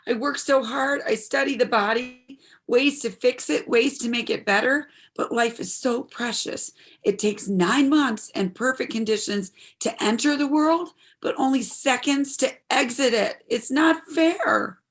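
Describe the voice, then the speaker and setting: A woman's voice: high-pitched, unhurried. A woman playing an earnest, troubled daughter in her twenties in a stage drama, speaking in a heartfelt, pleading way. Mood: anguished. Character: earnest, troubled 26-year-old daughter, medical student. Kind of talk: stage play dialogue, audio performance.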